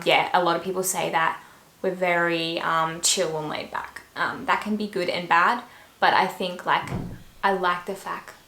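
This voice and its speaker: A woman's voice: lowish voice, fairly bright tone. A young Australian woman with an Australian accent answering questions in a casual vlog, chatty and full of fillers and trailing asides. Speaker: YouTuber. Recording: echoey room with faint noise.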